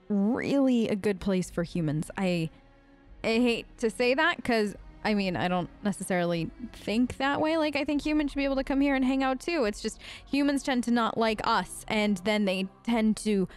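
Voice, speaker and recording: A woman's voice: mid-range voice, normal speed, soft background music. A woman hosting a game let's play, improvising in character in a casual, polite and hesitant way. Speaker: gaming video host.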